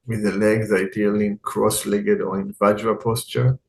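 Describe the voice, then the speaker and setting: A man teaching guided meditation in a calm, unhurried way, speaking in short phrases with long pauses. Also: on the low side, vocal fry.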